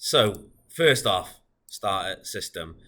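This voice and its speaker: A man's voice: sits low, very animated, slow, sharp tone. A man demonstrating a product in an informal, explanatory, matter-of-fact way.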